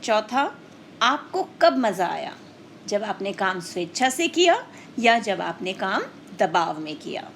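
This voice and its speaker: A woman's voice: medium pitch, very animated, slow pace, fairly bright tone, a little hiss or hum. A woman teacher telling a moral lesson to children in a didactic way, explaining and putting questions straight to her listeners. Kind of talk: scripted storytelling lesson addressed to children.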